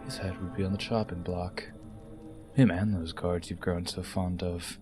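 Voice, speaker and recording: An actor playing a smug, menacing blackmailer in an audio drama, controlled and threatening. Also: male voice, deep voice, level tone, moderate pace, a little mellow, natural voice. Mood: quietly threatening. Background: prominent music.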